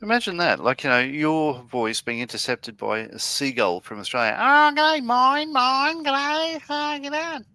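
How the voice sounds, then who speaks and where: A man co-hosting a relaxed livestream, making dry teasing quips, a little farther from the mic. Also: sits high, measured pace, few pauses, dry recording. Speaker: co-host. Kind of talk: casual livestream chat.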